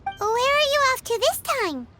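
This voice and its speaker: A woman's voice: extremely high pitch, sing-song, measured pace, very noisy, exaggerated voice. A woman voicing a tiny, childlike fairy companion in a video game, naive, curious and earnest. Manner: childlike, puzzled questioning, refers to self by name.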